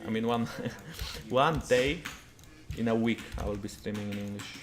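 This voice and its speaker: A man's voice: on the low side, normal speed, over music. A man with a Polish accent giving casual live gameplay commentary as a streamer. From Poland. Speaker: video game streamer.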